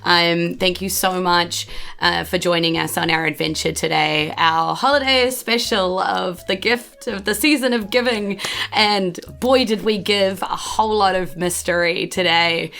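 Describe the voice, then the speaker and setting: A woman's voice: lowish voice, measured pace, gravelly, a bit bright. A woman with a New Zealand accent hosting a tabletop podcast as game master, chatty and upbeat. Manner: chatty, upbeat, thanks listeners at length. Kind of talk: casual podcast chat and narration.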